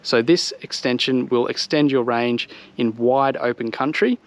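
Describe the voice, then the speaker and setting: A man's voice: mid-range voice, measured pace. A man in his thirties with an Australian accent, giving a casual, explanatory product review to his viewers outdoors. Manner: informal explanatory walk-through, addressing viewers. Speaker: vlogger reviewing vehicle gear. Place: outdoors on a home driveway by a vehicle.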